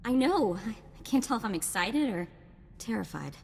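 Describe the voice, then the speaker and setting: A woman's voice: fairly high pitch, normal speed, vocal fry, breathy edge, long reverb tail, full character voice. A woman voicing an eager, slightly nervous young sidekick in a video game, chatty and informal. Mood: excited. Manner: excitable, informal.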